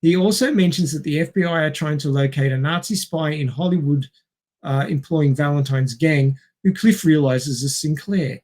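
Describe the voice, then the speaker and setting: Casting: male film podcast co-host, voice a little high, recapping and chatting with a wry, dry wit. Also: normal speed, darkish tone. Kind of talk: reading a film plot summary with wry asides and casual chat.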